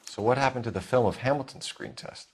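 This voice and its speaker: An actor playing a sober police detective, controlled and probing, in a video game cutscene. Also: male voice, medium pitch, fairly quick, slight background noise, lightly acted.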